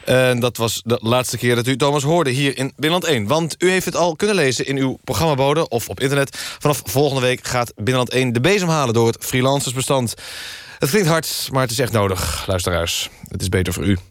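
Actor as deadpan male radio news presenter. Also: medium pitch, fairly quick, dry recording, studio quality, close to an everyday voice. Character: dry male news-magazine presenter, mildly exasperated.

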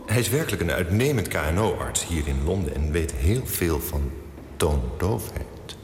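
An actor playing a pious, deferential court chaplain in a radio drama, speaking solemnly. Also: male voice, deep voice, sing-song, normal speed, a little mellow, roomy sound, long reverb tail, a little hiss or hum.

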